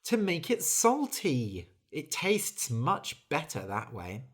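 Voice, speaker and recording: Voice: mid-range voice, wildly swooping pitch, takes it slowly, breathy edge, heavily acted. A reader doing a weary hardworking bird. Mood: explaining. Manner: earnest, complaining. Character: hardworking female bird, tired and fed up.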